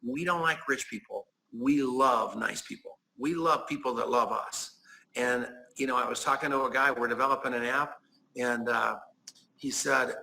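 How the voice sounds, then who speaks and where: A man's voice: medium pitch, creaky, bright, forceful tone, a little hiss or hum, rough recording. A man in his sixties talking as an interview guest, with an emphatic, preachy manner that repeats key phrases. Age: 60s. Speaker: guest, business owner. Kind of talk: enthusiastic conversational pitch.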